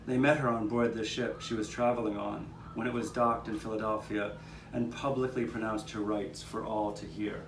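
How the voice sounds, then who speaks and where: A low-voiced man narrates history as an eyewitness in a live puppet show. He is heard from some way off, with roomy echo and clear background noise. The delivery is that of a storyteller addressing onlookers. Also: little pitch movement, normal speed. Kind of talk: first-person storytelling of local history, performed. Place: outdoor courtyard performance. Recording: roomy, distant, noisy live courtyard recording.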